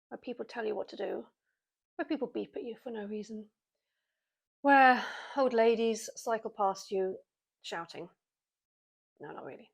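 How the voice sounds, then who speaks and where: A woman's voice: on the high side, creaky. Chatty British woman in her forties. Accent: British English. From Britain. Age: 40s. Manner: chatty, self-mocking, wry asides. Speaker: vlogger. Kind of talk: casual chatty monologue to camera.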